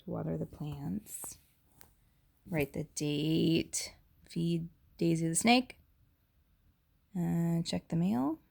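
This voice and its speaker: A woman reading a picture book aloud to young children in an animated teacherly way, pausing to ask questions and chat about the story. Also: on the low side, gravelly. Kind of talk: expressive read-aloud storytelling with asides and questions.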